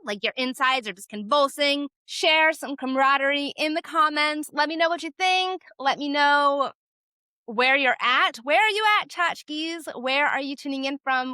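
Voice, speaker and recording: A woman in her twenties hosting her own vlog, talking casually and rambling with lots of filler words and sudden lifts in pitch for emphasis. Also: no echo. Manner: animated, jumps up in pitch for emphasis. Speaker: vlogger host. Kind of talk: casual talk to camera.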